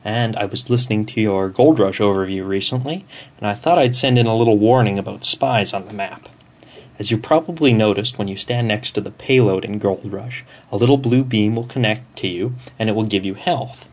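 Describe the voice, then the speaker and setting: A man's voice: on the low side, a little fast. A man sending a prepared listener message, explaining something step by step.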